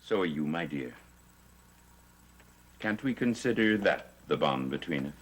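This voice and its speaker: An actor playing a sly, scheming middle-aged warlock in a 1960s soap opera, with a smooth, insinuating manner. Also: male voice, very deep voice, lively intonation, unhurried, heavily acted. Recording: old TV soundtrack with music. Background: music.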